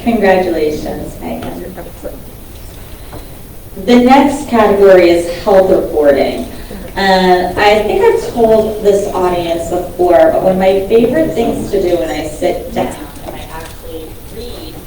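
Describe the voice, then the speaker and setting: A woman at a podium reads award winners and adds chatty, self-deprecating remarks, her voice loud by her own account. She is heard from a distance in a large, very echoey hall. A clear wash of room noise sits under her. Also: on the low side, measured pace. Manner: loud, chatty asides between announcements. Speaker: awards presenter. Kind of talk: reading award winners at a banquet. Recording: echoey hall from far off, noisy.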